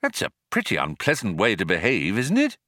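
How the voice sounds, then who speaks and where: Casting: awkward everyman voiced by a male reader, easily startled, stammery and incredulous, pitch jumping about, dry studio sound. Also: huge pitch swings, a little fast, sharp tone, no room sound, full character voice. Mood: disapproving. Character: awkward, flustered, startled ordinary man. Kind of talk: audiobook character voice.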